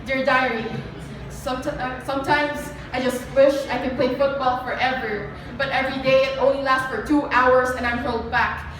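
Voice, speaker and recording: A woman's voice: high voice, a bit bright, distant mic. A young woman with a Filipino accent performing spoken word poetry live. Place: live performance venue, heard from a distance. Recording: echoey venue from afar, noisy.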